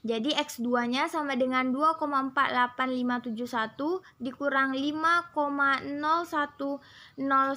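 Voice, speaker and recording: A woman's voice: high voice, pushed and bright. A female student explaining the steps of a numerical method carefully, one step at a time. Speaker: student presenter.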